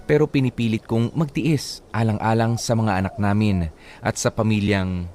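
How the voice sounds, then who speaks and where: A man's voice: lowish voice, fairly even intonation, normal speed, a little mellow, slight background noise. A male radio host who jokes and laughs easily, bantering with a co-host and reading a listener's letter.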